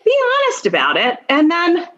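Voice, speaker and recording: A woman's voice: high voice, huge pitch swings, normal speed, a bit bright, dull audio. A middle-aged woman giving career advice and telling personal stories as a guest in a conversation, emphatic and encouraging, speaking straight to the listener. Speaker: podcast guest, attorney and mentor.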